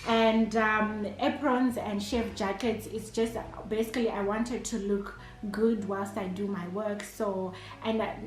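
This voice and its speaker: A woman's voice: mid-range voice, steady tone, unhurried, hardly any pauses, faint music behind. A Zimbabwean woman in her thirties, an entrepreneur and chef, answering interview questions in a hesitant way, with many fillers and restarts. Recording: echoey room.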